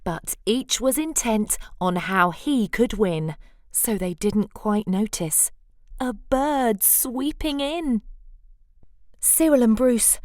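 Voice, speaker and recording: A woman storyteller reads a rhyming picture book with playful drama. Her pitch swings widely, and she takes her time over each phrase. The recording is close and dry. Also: no echo. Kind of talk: expressive rhyming storybook read-aloud.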